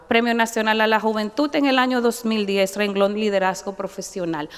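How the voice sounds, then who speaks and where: A woman's voice: a little high, smooth. Formal woman judge in hall. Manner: formal, measured, devout asides. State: nervous. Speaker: judge and candidate. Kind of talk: formal hearing testimony. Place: large hearing chamber. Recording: long reverb in a hall.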